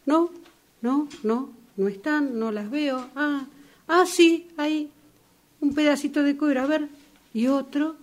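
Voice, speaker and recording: A woman's voice: high voice, slow pace. A woman in an amateur radio drama playing a fussy, solicitous retired old sister with a Rioplatense Argentine accent, given to fretful exclamations.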